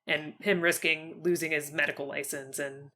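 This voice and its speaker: A woman interviews in a casual podcast style. Her voice sits fairly low but has a bright, forward edge. She phrases questions haltingly and tentatively, circling back to reword them. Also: fairly even intonation.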